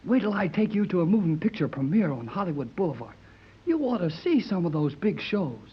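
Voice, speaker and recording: Brash American lad, earnest and slangy, swooping husky voice, vintage radio serial, muffled sound. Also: male voice, high voice, very animated, brisk, exaggerated voice. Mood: earnest, urgent. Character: eager, brash American teenage boy. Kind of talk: 1930s radio serial acting. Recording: muffled, worn 1930s radio recording.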